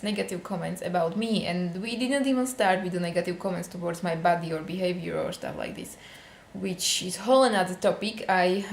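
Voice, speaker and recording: A woman's voice: on the low side, roomy sound, slight background noise. A young woman from Slovakia with a Slovak accent, venting casually to camera in a vlog, with many fillers. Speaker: vlogger.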